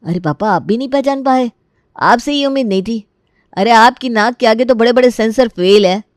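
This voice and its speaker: A woman's voice: fairly high pitch, normal speed, no echo, studio quality, pitched above the performer's own voice, lightly acted. A woman voicing a cheeky, pleading young adult in a cartoon family story, playful and exaggerated. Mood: teasing.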